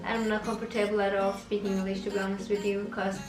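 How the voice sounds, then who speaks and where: A woman in her late twenties, raised in Norway and originally from Kurdistan, with a mixed Norwegian and Kurdish accent, chatting casually to camera as a beauty vlogger and pausing often on fillers. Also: mid-range voice, flat delivery, reverberant, far from the mic, over music.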